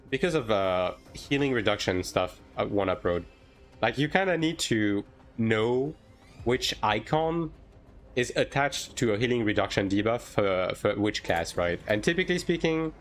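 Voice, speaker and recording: A man from France, a native French speaker, chatting casually and informally on a game livestream as he answers viewers. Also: fairly low pitch. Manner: informal, hedging, tags sentences with "right?". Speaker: game streamer.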